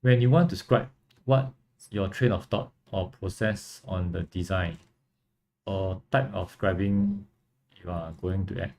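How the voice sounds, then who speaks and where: A young man from Singapore with a Singaporean accent, casually chatting on a livestream and answering viewers in a relaxed, rambling way. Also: low-pitched, unhurried. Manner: relaxed, rambling, frequent fillers and restarts. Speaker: livestream host and model kit builder.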